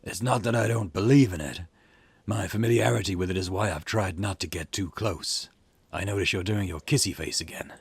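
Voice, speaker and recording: Man playing a veteran cop, gruff and weary, very low voice, gravelly, curt, visual novel dialogue, music underneath. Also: brisk, no room sound, exaggerated voice. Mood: stern, impatient. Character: gruff, impatient veteran police detective, older man. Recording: music behind the voice.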